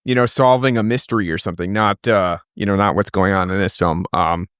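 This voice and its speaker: A man co-hosting a film podcast in casual chat, explaining ideas in a relaxed, easygoing way. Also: deep voice, a little fast, smooth, no echo, studio quality. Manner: relaxed, explanatory, laughs often. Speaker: podcast co-host. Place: podcast studio.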